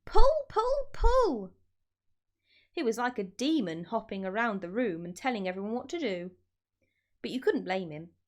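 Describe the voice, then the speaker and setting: Animated woman reading a children's story. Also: mid-range voice, brisk. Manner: addresses child listeners with questions. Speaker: storyteller, teacher reading aloud. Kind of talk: expressive children's story narration.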